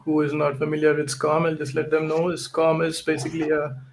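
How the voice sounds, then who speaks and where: A man's voice: on the high side, monotone, brisk, creaky, slight background noise, rough recording. A man hosting a tech podcast, talking casually and often ending his points with "right?". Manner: tags sentences with "right?". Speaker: podcast host and event organizer.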